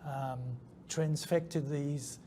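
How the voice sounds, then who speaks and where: Man, German-born, New Zealand accent, hesitant with frequent ums, mellow tone, faint conference hum. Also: fairly high pitch, fairly even intonation, extremely slow. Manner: hesitant, many ums and restarts. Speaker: scientist guest. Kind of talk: interview answers. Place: conference venue. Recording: faint background noise at a conference.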